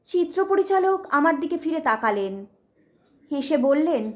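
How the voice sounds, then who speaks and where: High-pitched woman narrating a story. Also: sing-song, measured pace. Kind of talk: dramatic audio story narration.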